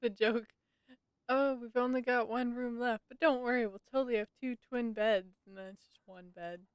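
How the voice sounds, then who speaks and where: A woman's voice: on the high side, steady tone, normal speed, clean tone, dry recording. A woman streaming a game live, chatting casually and playfully reacting aloud.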